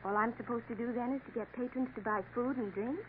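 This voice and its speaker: An actress in a 1950s radio drama playing a naive eighteen-year-old girl who speaks softly and hesitantly. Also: a little high, fairly even intonation, quick pace, lightly acted. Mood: timid. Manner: hesitant, soft, questioning. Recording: tinny old radio broadcast with audible hiss.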